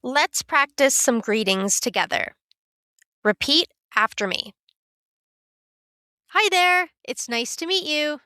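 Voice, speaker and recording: A woman teaching a beginner English lesson in a careful, clear, scripted way. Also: sits high, big pitch swings, slow, long pauses, bright, forceful tone, dry recording, studio quality. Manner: clear, careful, instructive delivery.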